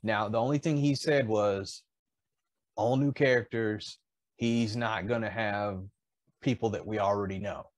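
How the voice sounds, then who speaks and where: A man in his fifties chatting casually as a podcast co-host, often pausing mid-thought. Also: fairly low pitch, steady tone, dry recording. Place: indoors in a home collectibles room.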